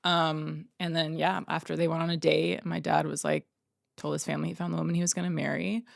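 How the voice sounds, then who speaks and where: Woman, thirties, from Oregon, rambling storyteller, low gravelly voice. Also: flat delivery, brisk, dry recording, clean studio sound. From Oregon, United States. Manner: rambling, filler-heavy storytelling. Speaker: painter, interview guest. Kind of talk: casual interview conversation.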